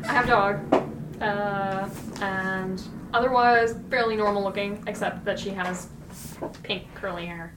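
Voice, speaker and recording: A woman's voice: medium pitch, fairly even intonation, a little slow. A young woman playing in a tabletop game, playful and chatty. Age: young adult. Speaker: player. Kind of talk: casual tabletop game chat. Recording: roomy, distant, noisy.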